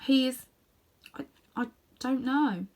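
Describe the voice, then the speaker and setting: Lady doing an earnest schoolboy in a kids story, torn and stammery, voice pitched up. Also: a little mellow. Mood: dried-up, unsure. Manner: halting, stammering, indignant. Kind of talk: children's storybook character voice.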